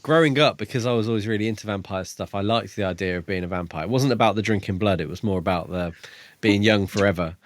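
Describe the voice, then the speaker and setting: A man with a fairly low voice talks casually as a podcast co-host about books. He feels his way through his points with some hedging. The sound is dry and close, with little room on it. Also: fast, dry recording. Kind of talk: casual book discussion.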